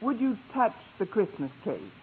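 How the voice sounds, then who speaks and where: An American actress playing a wry, romantic high school English teacher in a vintage radio sitcom. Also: female voice, very animated, normal speed, lightly acted. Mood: earnest. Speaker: lead actress in a radio comedy. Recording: tinny, noisy old radio broadcast.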